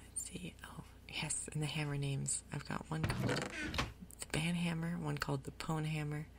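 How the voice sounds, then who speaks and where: A woman giving soft-spoken ASMR game commentary in a breathy, gentle, unhurried way. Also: deep voice, flat delivery, heavy background noise. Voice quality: breathy, whispery. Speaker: gaming video host.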